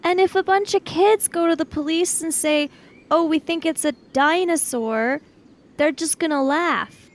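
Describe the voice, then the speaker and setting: A woman's voice: extremely high pitch, measured pace. A woman playing a sensible kid in improvised tabletop roleplay, reasoning aloud in a questioning, matter-of-fact way. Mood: skeptical.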